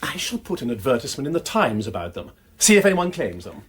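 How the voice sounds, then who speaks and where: An actor playing a flippant, witty aristocratic amateur sleuth with an upper-class English accent and a bantering, drawling manner, in a radio drama. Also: male voice, medium pitch, big pitch swings, fast, slightly rough. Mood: curious, musing. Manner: breezy, bantering, drawling exclamations. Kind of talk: BBC radio drama acting.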